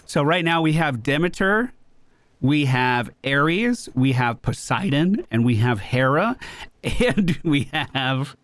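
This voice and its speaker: A man's voice: on the high side, animated, slow, a bit bright, dry recording. A man streaming a video game, giving casual, jokey running commentary and talking himself through play. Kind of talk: live gameplay commentary. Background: game music.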